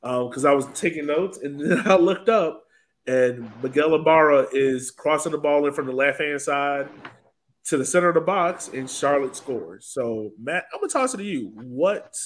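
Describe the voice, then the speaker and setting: A man with a slightly high voice hosts a casual soccer discussion. He talks conversationally, as if handing the talk around to co-hosts. There is faint noise and clicking in the recording. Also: animated, moderate pace. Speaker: sports podcast host.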